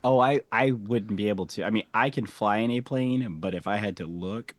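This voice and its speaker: A man co-hosting a podcast in casual chat, trading wry, teasing reactions and drawn-out asides. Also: on the low side, dry recording. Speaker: podcast co-host.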